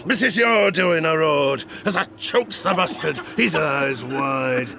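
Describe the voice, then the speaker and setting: An actor playing an old, garrulous sailor and former harpooner, telling tavern tales in rustic seafaring dialect for an audio drama. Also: male voice, fairly high pitch, expressive, slow pace, creaky, crowd noise, exaggerated voice. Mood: dramatic, grim. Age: older. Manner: rustic sailor dialect, chuckling, conspiratorial asides. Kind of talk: theatrical audio drama storytelling.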